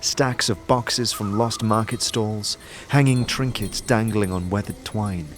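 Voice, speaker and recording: A man's voice: lowish voice, a little slow, over music. A man delivering scripted second-person narration as the narrator of a fantasy story.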